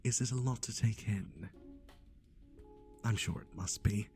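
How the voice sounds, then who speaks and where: A man's voice: on the low side, a little slow, warm tone, faint music behind, close to an everyday voice. A man playing a chatty, cheerfully creepy matchmaker in an ASMR roleplay, with breezy, mock-reassuring, slightly hesitant patter. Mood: solicitous, wry. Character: chatty, cheerfully creepy male yandere dating agency host.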